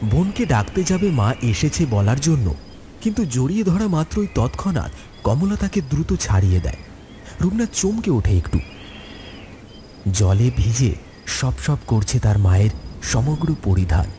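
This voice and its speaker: A man narrates a horror story in a dramatic, scripted style. His mid-pitched voice moves with lively, expressive swings. Clear storm and insect effects play behind him. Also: a little slow, background noise.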